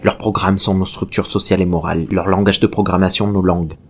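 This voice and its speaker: A man's voice: sits low, little pitch movement, fast talker. An actor playing an obsessive, exalted male researcher given to professorial philosophical tirades in a French audio drama. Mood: exalted, lecturing. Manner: professorial, fervent, rambling monologues. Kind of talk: French science-fiction audio drama acting. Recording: clear background noise and ambience.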